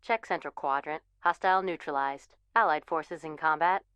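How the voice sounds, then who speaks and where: A woman's voice: deep voice, normal speed, a bit bright, smooth, dry recording. A woman playing a composed military command operator, giving clipped, impersonal tactical announcements in a video game.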